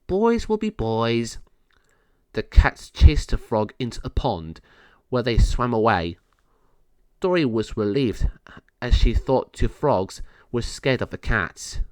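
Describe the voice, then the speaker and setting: Storybook narrator reading to kids, medium pitch, very up n down delivery, dry sound. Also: animated, measured pace, no echo. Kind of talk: scripted children's read-along narration.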